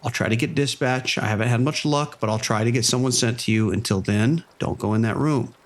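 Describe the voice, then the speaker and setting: An actor playing a calm police sergeant who gives firm, measured orders over the phone in an audio drama. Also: male voice, mid-range voice, lightly acted. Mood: steady, commanding.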